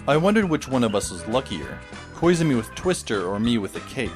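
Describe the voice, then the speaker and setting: A man's voice: mid-range voice, very animated, fairly quick. A man playing a wry teenage boy narrator. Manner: dry, sardonic. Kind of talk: fan audio drama narration. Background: background music.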